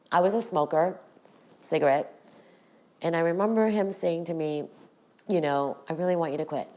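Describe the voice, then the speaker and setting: A middle-aged woman with a raspy voice, hosting her own podcast and talking frankly to her viewers about her past. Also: low-pitched, a little mellow. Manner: candid, direct address to viewers. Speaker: podcast host. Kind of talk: personal storytelling to camera.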